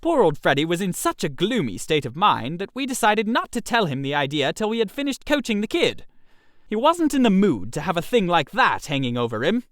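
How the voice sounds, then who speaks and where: A man reads a comic story in a high, very animated voice. He is chatty and expressive, playing the idle gentleman telling his own tale, and the sound is close and dry. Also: a little fast, dry recording. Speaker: audio story narrator. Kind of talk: scripted comic first-person story narration.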